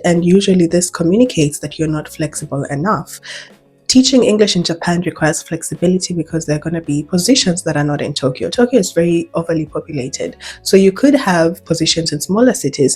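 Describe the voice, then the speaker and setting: A Kenyan woman with a low, somewhat husky voice gives practical job tips in a vlog. She speaks conversationally and leans hard on her main points, repeating them for emphasis. Soft music plays faintly behind her. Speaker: English teacher giving job advice. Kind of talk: advice vlog, speaking to camera.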